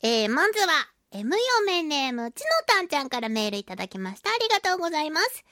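A woman hosting a solo radio talk show, chatting and reading out listener mail with animated, playful reactions. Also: sits high, quick pace, no echo, studio quality. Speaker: radio show host, voice actress.

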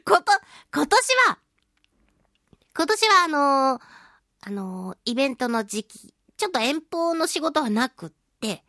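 A woman hosting a casual solo radio talk show, speaking in an expressive, self-deprecating way with drawn-out exclamations. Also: high voice, dry recording, studio quality. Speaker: voice actress and singing event MC.

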